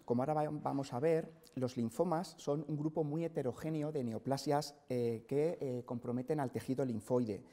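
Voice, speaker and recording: A middle-aged man from central Spain delivers a careful medical lecture, steady and explanatory, filling pauses with soft hesitations. His voice is mellow and dark. A long reverb from the hospital auditorium trails behind every phrase. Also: medium pitch. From Spain, Castilla-La Mancha. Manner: measured, explanatory, frequent "eh" fillers. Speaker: hematologist lecturer. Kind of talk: scientific seminar lecture.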